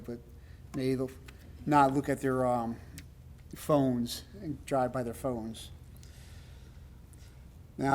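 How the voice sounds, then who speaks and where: A man with a loud voice giving a rambling, emphatic public comment at a town meeting, with many fillers. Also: mid-range voice. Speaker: resident speaking at public comment.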